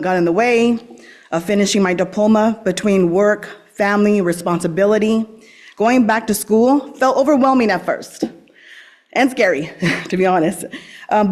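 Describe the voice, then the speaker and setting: A woman's voice: mid-range voice, a little mellow. An adult woman, a recent graduate, reading a sincere, personal speech at a school board meeting. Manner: sincere, personal, reads steadily. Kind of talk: prepared graduation-style speech.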